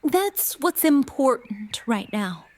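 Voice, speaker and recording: A woman playing a gentle, earnest young heroine in a fantasy audio drama, with a deliberate, soothing delivery. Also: sits high, sing-song, exaggerated voice. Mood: gently reassuring.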